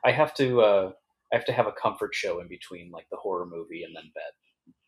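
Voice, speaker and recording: A man with a deep voice joins a casual podcast chat. He tells personal anecdotes haltingly, with a self-deprecating manner. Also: low-quality recording. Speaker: podcast producer and guest.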